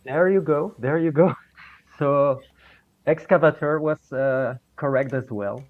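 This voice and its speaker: Guy, slightly high voice, hesitant, dull lo-fi call sound. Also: measured pace. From Belgium. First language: French. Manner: hesitant, searches for English words. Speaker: guest. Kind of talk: casual chat on a video call.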